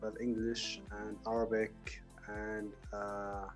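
A young man from Germany, a native German speaker and student, chatting haltingly in English with many fillers. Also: on the low side, completely flat delivery, faint music behind. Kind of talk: casual chat.

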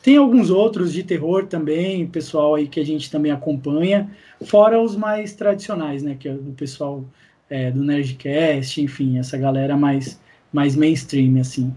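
Husky, mellow Brazilian man, slightly high. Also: a little slow. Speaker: podcast guest, audio drama creator. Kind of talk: casual interview chat.

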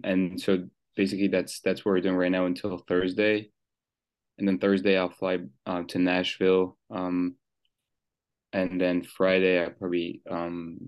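A man's voice: sits low, darkish tone. A young man from Switzerland, a new pro soccer player, answering interview questions casually with many fillers and restarts. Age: young adult.

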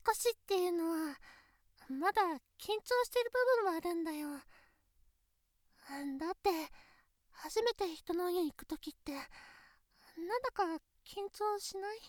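A woman voicing a nervous, earnest teenage girlfriend in a situation voice drama, fretting in soft close-up talk with hushed asides. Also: very high-pitched, dry recording, exaggerated voice. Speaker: voice actress.

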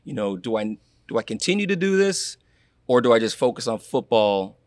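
A young man from Florida, a guest in a casual interview, telling animated stories and acting out what people said. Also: mid-range voice, fairly quick, no room sound, studio quality. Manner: animated storyteller, acts out quotes, laughs. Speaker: guest, former college athlete.

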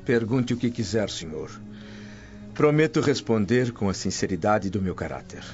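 An actor playing a serene, dignified freed slave and father in a radio drama, with measured, formal speech. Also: on the low side, expressive, natural voice. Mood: calm, dignified. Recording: dull lo-fi radio drama, music underneath. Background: soft music.

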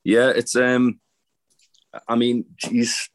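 A man hosting a wrestling news podcast, losing his voice to a cold, talking in a halting way with many fillers. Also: mid-range voice, completely flat delivery. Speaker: podcast host. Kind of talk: casual chat, reading news.

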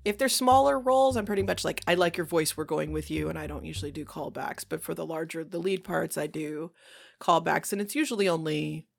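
A woman with a creaky edge to her voice, explaining her directing process as a guest in a casual interview. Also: low-pitched, a little fast. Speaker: audio drama creator and director, interview guest.

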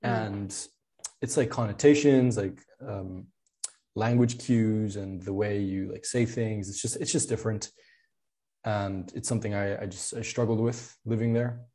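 A man's voice: fairly low pitch, steady tone, unhurried, warm tone. A man in his twenties from Spain, speaking as a podcast guest, talking casually with many fillers, restarts and laughs. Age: 20s. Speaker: podcast guest, product designer. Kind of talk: casual interview chat.

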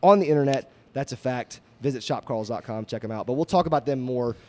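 A man's voice: medium pitch, quick pace, dark tone. A man hosting a fishing podcast, joking with guests and stumbling through a sponsor read. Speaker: podcast host. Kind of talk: casual podcast chat. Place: indoor studio room.

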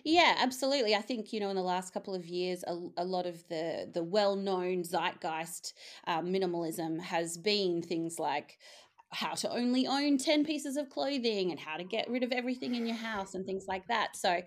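A woman's voice: mid-range voice, moderate pace. A woman from Australia with an Australian accent, a guest and co-author on a video call, often repeating words as she starts a thought. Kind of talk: casual interview talk.

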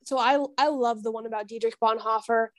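Woman podcast guest, highish voice, dry sound no echo, chatting all enthusiastic. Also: steady tone, brisk. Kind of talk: casual chat.

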